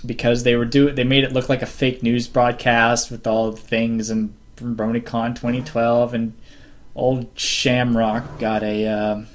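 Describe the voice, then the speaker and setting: A man co-hosting a casual group podcast, talking haltingly as he searches for words. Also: mid-range voice, flat delivery. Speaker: co-host of a fan podcast. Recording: clear background noise and clicks.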